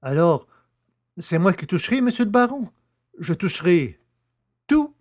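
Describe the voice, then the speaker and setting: An actor playing a stubborn, naive village poacher, protesting and eager, in a French audio drama. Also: male voice, sits high, very animated, unhurried, exaggerated voice. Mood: eager, hopeful. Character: wrongly jailed village poacher, stubborn, naive, easily flattered.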